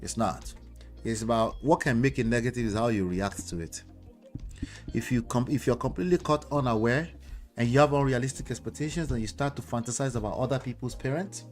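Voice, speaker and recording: A Nigerian-accented man talks through viewer comments in a loose, emphatic commentary. He is a relationship coach who keeps checking in with his listeners through tag questions. His voice sits at a middle pitch, with faint music under it. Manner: emphatic, with frequent tag questions like "okay?" and "you know".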